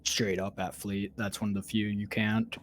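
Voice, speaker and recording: A man's voice: lowish voice, level tone, moderate pace, slight background noise. Casual male gamer on voice chat. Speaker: player in a gaming group. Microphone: online voice chat.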